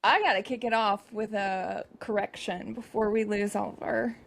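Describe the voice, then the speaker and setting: A woman co-hosting a comedy podcast, talking in a chatty, sarcastic way with quick asides. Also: mid-range voice, fairly even intonation, vocal fry. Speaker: podcast co-host. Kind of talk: comedic casual chat.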